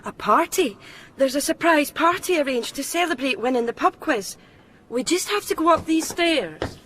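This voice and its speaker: A woman's voice: sits high, expressive, moderate pace, fairly bright tone, slight background noise. An actress playing a hopeful, deluded lovelorn woman in an intimate radio drama, earnest and placating.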